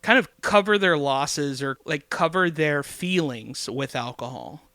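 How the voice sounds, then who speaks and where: A man's voice: fairly high pitch, lively intonation, a little slow, bright, forceful tone, no room sound. A man guest on a music podcast, a singer-songwriter talking casually and enthusiastically, rambling with lots of fillers.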